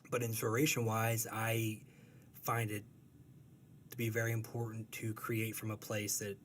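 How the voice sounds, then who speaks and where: A man's voice: fairly low pitch, little pitch movement, a little slow, a little hiss or hum. A man who is a cartoonist, talking casually in an interview, rambling and correcting himself, laughing easily. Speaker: cartoonist guest.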